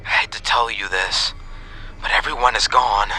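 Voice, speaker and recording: Forceful, bright-voiced policeman, done by a narrator. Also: lowish voice, fairly even intonation, voice pushed up, poor audio. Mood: confused, grave. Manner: authoritative, brisk. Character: male police officer, authoritative, teasing, matter-of-fact.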